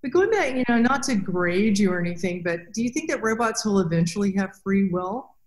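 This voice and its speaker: A woman's voice: medium pitch, expressive, brisk. A middle-aged woman interviewing conversationally as a podcast host over a video call. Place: indoor room, video call. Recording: roomy, echoing video-call sound.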